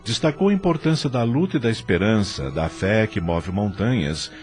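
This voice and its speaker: Deep-voiced Brazilian man narrating solemnly. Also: animated, normal speed, darkish tone, soft background music. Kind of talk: scripted audio drama narration.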